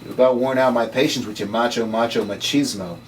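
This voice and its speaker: A man's voice: mid-range voice, steady tone, fairly quick, lightly acted. A man playing a sardonic, world-weary noir occult investigator with slangy delivery in a script table read. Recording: distant mic, noisy room.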